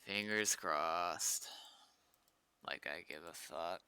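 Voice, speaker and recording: A man's voice: low voice, very slow, bright, forceful tone, smooth, no echo. A man casually talking to himself while coding on a livestream, frustrated and prone to swearing. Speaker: livestreaming game server developer. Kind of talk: casual muttered commentary while coding on stream.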